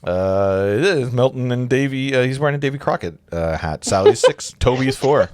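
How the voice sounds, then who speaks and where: A man's voice: medium pitch, lively intonation, normal speed, darkish tone, no room sound, clean studio sound. A man in his forties reading stage directions aloud informally, tossing in his own asides. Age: 40s. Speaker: narrator of a script read-through.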